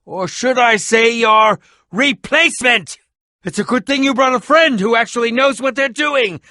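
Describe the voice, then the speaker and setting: An actor playing a haughty, theatrical, hot-tempered schemer in a video game, with sneering, melodramatic delivery and grandiose phrasing. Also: male voice, very high voice, moderate pace, sharp tone, no echo, studio quality, exaggerated voice. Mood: scornful mockery. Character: pompous, theatrical, hot-tempered scheming potion-brewing man, bitter rival. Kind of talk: animated video game voice acting.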